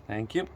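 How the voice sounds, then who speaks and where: Teasing mechanic in a workshop. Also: male voice, heavy background noise. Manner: matter-of-fact, teasing, explains the technical details. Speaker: mechanic helping with the build. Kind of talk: casual workshop chat. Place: garage workshop.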